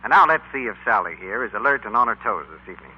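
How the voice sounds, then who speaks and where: Man, vintage radio host, fast scripted delivery, teasing professor manner, husky, dull band-limited recording. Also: mid-range voice, lively intonation. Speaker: radio announcer. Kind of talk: scripted 1940s radio announcing.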